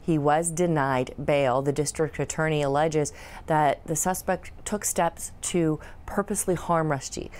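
A young woman correspondent giving a live news report from a newsroom studio, measured and hesitating with fillers. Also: low-pitched, darkish tone.

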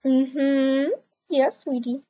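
High-voiced woman in game roleplay. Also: level tone, extremely slow, dark tone, muffled recording. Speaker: roleplay video creator.

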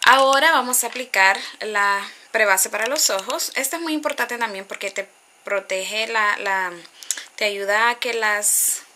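A woman's voice: mid-range voice, measured pace, sharp tone, a little hiss or hum. A woman in her thirties from Honduras, chatting casually through a makeup tutorial at a close webcam in a small bedroom, rambling with asides and dropping in English words. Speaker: beauty vlogger. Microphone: webcam at close range.

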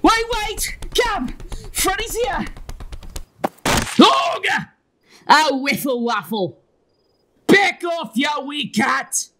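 Cartoon red panda pirate sidekick: high, swooping, theatrical, scheming, over a noisy track. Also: female voice, expressive, extremely slow, long pauses, bright, forceful tone, heavily acted. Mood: frantic, scolding. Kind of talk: motion comic voice acting.

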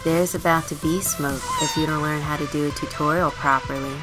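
Woman as a low-voiced, sardonic teenage runaway girl. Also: steady tone, a little fast, over loud music, natural voice. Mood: grumbling. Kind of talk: scripted life-simulation game story.

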